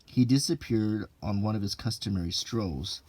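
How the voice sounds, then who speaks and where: A man reading an old printed text aloud in an ASMR style, unhurried and low-key, with casual offhand asides. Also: a little mellow.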